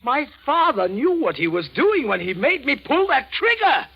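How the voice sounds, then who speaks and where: Agitated, shrill son, wildly inflected; dull 1940s radio sound. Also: male voice, sing-song, moderate pace, exaggerated voice. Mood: frantic, vindicated. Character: agitated person claiming a father forced the shooting. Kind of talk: 1940s radio drama acting.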